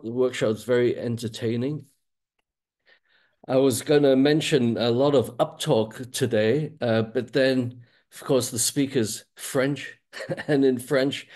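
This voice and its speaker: A man's voice: medium pitch, a little mellow. A man speaking as a guest on a video call, telling an easygoing, wry anecdote. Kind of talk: casual anecdote.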